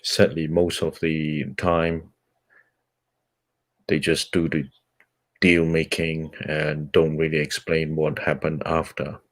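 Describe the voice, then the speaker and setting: Native Cantonese male book-club host, very deep voice, unhurried nonfiction reading. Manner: measured, halting with fillers between phrases.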